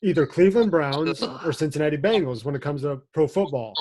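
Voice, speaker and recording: A man's voice: a little high, fairly quick, mellow. A man from Ohio co-hosting a podcast, easygoing and putting questions to the guest. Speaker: podcast co-host. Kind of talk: casual podcast chat.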